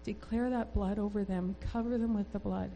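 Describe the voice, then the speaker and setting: A woman speaks fervently to a church gathering, urging listeners to pray protection over their families. Her tone is mellow and warm, with a faint hum underneath. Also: mid-range voice, fairly even intonation, normal speed, gravelly, rough recording. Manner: fervent, exhorting. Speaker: church member sharing a prayer testimony. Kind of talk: exhortation in a church service.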